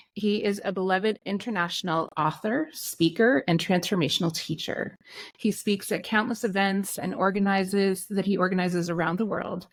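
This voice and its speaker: Woman, podcast host, lowish pitch, creaky fry, close dry sound, scripted intro delivery. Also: dry recording.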